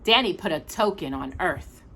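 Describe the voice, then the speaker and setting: A woman narrating a children's storybook expressively, in a dramatic, deliberate storytelling manner. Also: fairly low pitch, expressive, a bit bright, breathy edge. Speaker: storytime reader.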